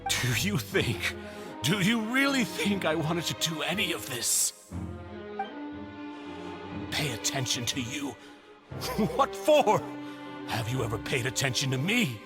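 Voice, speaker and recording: A desperate, grief-crazed game villain, theatrical and obsessed. His voice runs slightly high and swings wildly, with slow, drawn-out anguish, over loud music. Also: big pitch swings. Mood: anguished outrage. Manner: theatrical, unhinged, anguished delivery. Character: desperate grief-maddened male villain obsessed with lost lover. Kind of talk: dramatic video game voice acting. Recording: music under the voice.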